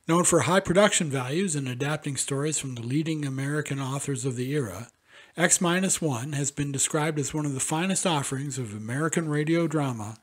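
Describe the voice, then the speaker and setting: Husky higher-pitched male presenter. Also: a little fast. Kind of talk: scripted introduction narration.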